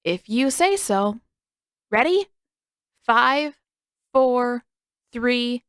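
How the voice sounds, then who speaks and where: A woman's voice: fairly high pitch, animated, drawn-out words, a bit bright, smooth, no room sound, clean studio sound, pitched above the performer's own voice, heavily acted. A woman voicing a cheerful, easygoing young boy in a storybook, light and playful.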